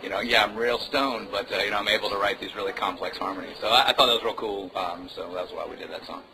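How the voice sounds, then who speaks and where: A male musician chatting casually as an interview guest, relaxed and rambling, with lots of fillers. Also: lowish voice, quick pace, crowd noise, rough recording. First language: Indonesian. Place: music club.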